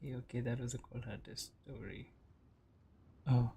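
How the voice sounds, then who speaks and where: A man's voice: medium pitch, fairly even intonation, moderate pace, gravelly, mellow, husky. A man giving casual, offhand reaction commentary as he watches a game story, in short interjections. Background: game music and dialogue.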